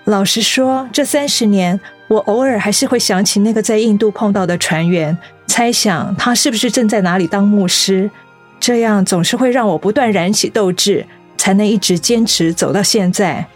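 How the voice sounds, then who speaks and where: Radio drama director, female actor: middle-aged woman, mid pitch, earnest, encouraging, determined. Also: moderate pace, faint music behind, close to an everyday voice. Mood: moved. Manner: earnest, heartfelt. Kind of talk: Mandarin radio drama acting.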